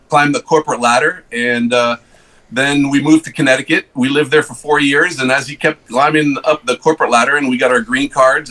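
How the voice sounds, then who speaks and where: A man's voice: medium pitch, sharp tone, rough recording. A middle-aged man from Switzerland, a guest in a casual podcast chat, telling stories with many fillers and restarts. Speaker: cigar rep guest.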